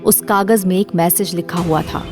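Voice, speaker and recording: A woman's voice: lowish voice, steady tone, moderate pace, over music. A woman narrating a scripted dramatic story as the narrator of an audio series.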